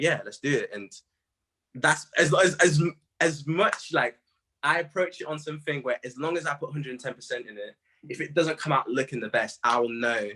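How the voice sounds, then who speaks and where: A young British man in a casual interview, telling stories in slangy, animated speech full of quoted asides. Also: medium pitch, husky. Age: young adult. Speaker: music artist being interviewed.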